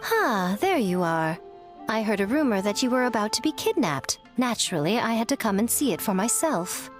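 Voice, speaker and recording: A woman's voice: fairly high pitch, sing-song, normal speed. A woman voicing a sly, self-assured noble shrine priestess in a video game, elegant and arch, full of teasing mischief. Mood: amused, arch. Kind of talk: scripted game voice acting. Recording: music behind the voice.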